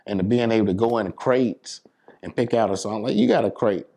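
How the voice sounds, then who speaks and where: Deep-voiced American man talking casually. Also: expressive, brisk, vocal fry, mellow. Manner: explanatory, repeats "you know what I'm saying". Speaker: DJ and entertainer being interviewed. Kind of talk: casual interview talk.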